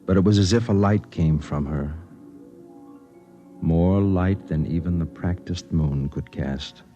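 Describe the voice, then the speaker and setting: A man's voice: very deep voice, mellow. A man playing a tender, lonely man, solemn and lyrical in 1950s radio drama acting. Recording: muffled vintage radio recording, faint music.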